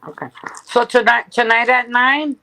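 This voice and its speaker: Woman, playful and teasing, fairly high voice, short quick replies. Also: steady tone, sharp tone. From United States. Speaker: panel guest. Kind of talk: casual panel chat.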